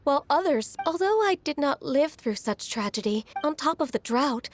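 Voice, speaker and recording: A woman voicing a gentle, studious young secretary, polite and deferential, in a video game. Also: high voice, animated, normal speed. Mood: earnest, explanatory. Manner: polite, bookish, explanatory.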